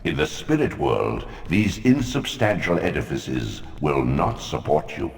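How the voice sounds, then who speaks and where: An actor playing an ancient commanding deity who speaks slowly and portentously, echoing over game music. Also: male voice, big pitch swings, exaggerated voice. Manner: slow, booming and portentous.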